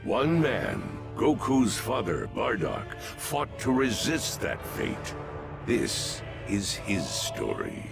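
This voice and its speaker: An actor narrating a sci-fi fantasy game saga in a grave, deliberate, dramatic manner. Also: male voice, lowish voice, huge pitch swings, vocal fry, over music, exaggerated voice.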